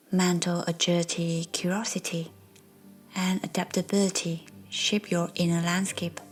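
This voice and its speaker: A woman narrating a guided meditation in a soft, breathy, soothing way, as a meditation guide. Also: fairly low pitch. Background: soft background music.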